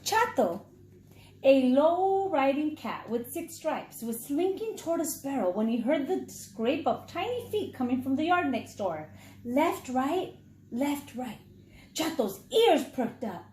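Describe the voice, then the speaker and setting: A woman reading a children's story aloud as a teacher, dramatic and deliberate for young listeners. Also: high voice, big pitch swings, a little mellow, slight background noise. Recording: echoey, roomy sound.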